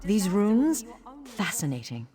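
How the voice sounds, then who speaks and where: An actress playing an earnest, scholarly mage with a formal, dryly wry manner in a fantasy video game. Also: female voice, medium pitch, big pitch swings, slow, dark tone, a little hiss or hum. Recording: long hall reverb.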